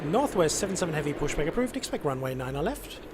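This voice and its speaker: High-pitched enthusiastic male game streamer. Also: very animated, quick pace, very noisy. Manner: enthusiastic, repeats phrases for emphasis. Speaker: live stream host. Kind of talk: casual live game-stream commentary.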